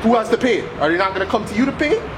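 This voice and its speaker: A man's voice: high-pitched, animated, very fast. A man preaching on the street in a confrontational, insistent, accusatory way, punctuating his points with repeated tags like "man" and "all right". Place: outdoors on an urban street. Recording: very loud outdoor city traffic noise.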